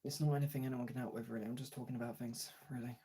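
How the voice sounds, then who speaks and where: A young man streamer talking casually and frankly in a gravelly, husky voice, halting at times and swearing freely. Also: mid-range voice, flat delivery, dark tone. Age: young adult. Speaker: streamer and voice actor chatting to followers. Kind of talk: casual candid chat.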